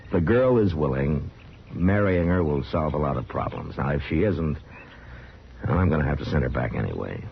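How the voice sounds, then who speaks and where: An actor playing a steady, tactful but firm marshal, plain-spoken and measured, in a 1950s radio western. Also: male voice, very deep voice, vocal fry, full character voice. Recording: dull, noisy old radio recording.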